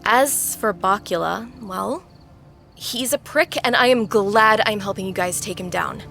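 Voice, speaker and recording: A woman playing a tough but vulnerable young-hearted woman, wry and candid, speaking haltingly in an audio drama. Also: mid-range voice, creaky, a bit bright, faint music behind.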